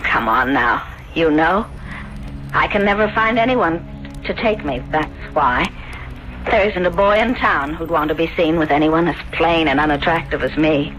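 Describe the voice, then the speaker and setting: Meek, bright-voiced young woman. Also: low-pitched, normal speed, muffled recording. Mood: shy, flattered. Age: young adult. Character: meek, self-deprecating young Italian woman, guilty and shy. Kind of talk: 1970s radio drama acting. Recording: vintage radio broadcast, music behind.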